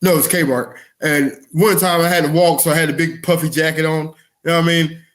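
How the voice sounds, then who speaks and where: A man's voice: on the high side, steady tone, a little fast. A man in his forties co-hosting a comedy podcast, chatting informally with slang and easy swearing. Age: 40s. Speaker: podcast co-host. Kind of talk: casual comedic chat.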